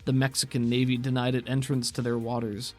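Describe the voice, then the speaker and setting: A man's voice: mid-range voice, little pitch movement, fairly quick. A man talking casually as a podcast co-host and game master.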